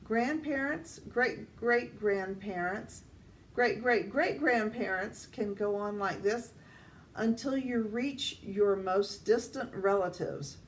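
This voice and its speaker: An older woman reads a children's picture book aloud in a deliberate way, addressing young listeners. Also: medium pitch. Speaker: storytime reader. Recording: distant mic, faint background noise.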